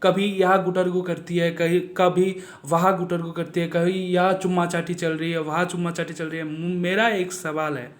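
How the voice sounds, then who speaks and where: A man's voice: high-pitched, little pitch movement, normal speed, few pauses, reverberant. A man giving a casual spoken film review, blunt and mocking, repeating himself for emphasis.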